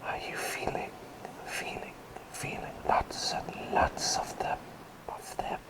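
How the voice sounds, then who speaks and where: A man playing a gentle, fussy, attentive plague doctor in an ASMR roleplay, murmuring in a breathy whisper and musing over repeated words. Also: close to an everyday voice, poor audio. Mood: playful, soothing. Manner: unhurried, soothing, musing, repeats words softly.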